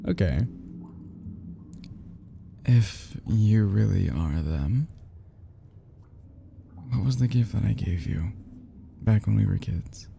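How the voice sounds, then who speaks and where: A man acts out an obsessive, unstable male kidnapper hopelessly in love, for an intimate audio roleplay. The voice is low and slow, with a very soft, dark tone. He stammers and falters, often sinking toward a whisper, over a faint noisy background. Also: exaggerated voice. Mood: defensive, bitter. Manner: halting, stammering, breathy nervous chuckles, often dropping to whispers.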